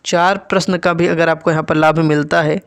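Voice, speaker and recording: A man's voice: a little high, fairly even intonation, normal speed, vocal fry, clear, resonant tone, no room sound. A man teaching as an exam coach, explaining score figures to students in an instructional way and repeating key points. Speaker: exam coach and channel host.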